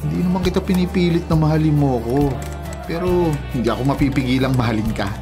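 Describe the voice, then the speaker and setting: An actor playing a persistent, lovestruck young suitor in a Filipino radio drama, earnest and pleading. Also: male voice, on the high side, fast talker, music in the background, close to an everyday voice. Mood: earnest, determined.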